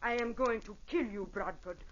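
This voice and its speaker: An actress playing a vengeful Italian opera singer and wronged mother in a radio drama, with an Italian accent and theatrical, deliberate delivery. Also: on the high side, close to an everyday voice. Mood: menacing, commanding. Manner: theatrical, deliberate, formal phrasing. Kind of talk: old-time radio drama acting. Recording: dull vintage radio recording.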